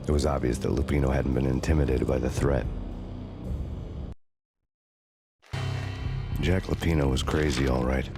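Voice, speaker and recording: Very deep, deadpan noir avenger. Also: male voice. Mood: grim, sardonic. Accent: American. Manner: deadpan, hardboiled, wry. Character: brooding, world-weary male avenger detective narrating. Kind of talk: noir scripted narration in a video game.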